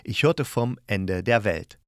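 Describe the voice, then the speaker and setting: A man's voice: on the low side, lively intonation, takes it slowly, dry recording. Casual male podcaster in a dry-sounding recording. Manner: loose, meandering, thinking aloud. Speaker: podcast host and reviewer. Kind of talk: casual spoken radio-play review.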